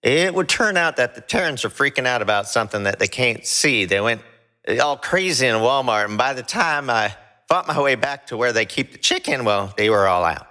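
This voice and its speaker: A man playing a hesitant, bumbling space-crew sidekick in an audio drama, halting and stammering as he talks. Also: mid-range voice, animated, bright, forceful tone. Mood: flustered.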